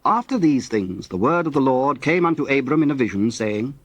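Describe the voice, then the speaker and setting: A man narrating scripture from a script in a measured, solemn manner. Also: mid-range voice, very animated, no echo.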